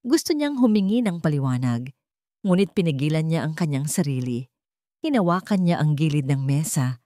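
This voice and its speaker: A woman with a Filipino accent narrating a scripted dramatic story in measured, short phrases. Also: sits low, big pitch swings, dark tone, dry recording, studio quality.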